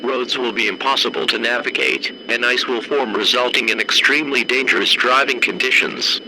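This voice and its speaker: Male emergency-broadcast announcer, acted: formal, flat, measured, stern, over clearly noisy radio-style audio. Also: mid-range voice, natural voice. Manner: measured, deadpan, clipped official delivery.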